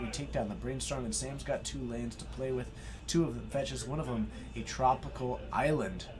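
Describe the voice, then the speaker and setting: An excitable man hosting a live stream, giving play-by-play card game commentary and effusively thanking his viewers. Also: medium pitch. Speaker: stream host and match commentator.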